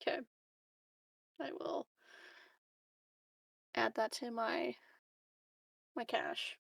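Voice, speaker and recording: A woman's voice: on the high side, fairly even intonation, extremely slow, creaky, fairly bright tone, dry recording. A woman chatting casually as a player in a tabletop game. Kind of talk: casual chat.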